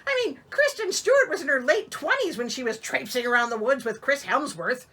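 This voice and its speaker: Animated, bright-voiced woman telling a story. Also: high voice, brisk, slightly rough. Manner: theatrical, incredulous, wry. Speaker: storyteller and host. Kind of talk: expressive fairy-tale reading with comic sarcastic asides.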